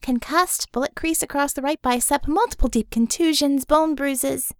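A woman voicing a sarcastic female medic, quick, wry and clinical. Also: sits high, no room sound, studio quality, voice pushed up.

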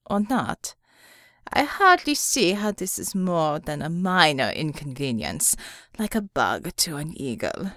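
A woman voicing a theatrical, menacing male German supervillain in an audiobook, with mocking, drawn-out lines and a German accent. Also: deep voice, very animated, fairly bright tone, no room sound, clean studio sound, pitched below the performer's own voice, full character voice.

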